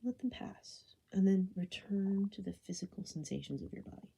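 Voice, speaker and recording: Husky, breathy woman guiding a meditation. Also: mid-range voice, expressive. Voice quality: soft, breathy, often falling into a whisper. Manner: gentle, unhurried, soothing instruction. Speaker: meditation guide. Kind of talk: guided body scan meditation.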